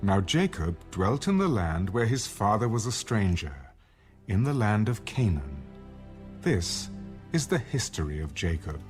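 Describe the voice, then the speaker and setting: A man reading scripture as a scripted dramatized narration, in a measured, solemn storytelling manner. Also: fairly low pitch, big pitch swings. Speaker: audio Bible narrator. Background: music bed.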